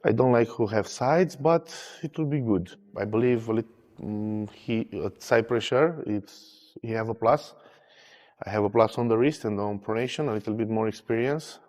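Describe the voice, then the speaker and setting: A man in his thirties, an armwrestler, speaking in short, halting English in a pre-match interview. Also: on the low side, darkish tone, long reverb tail. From Romania. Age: 30s.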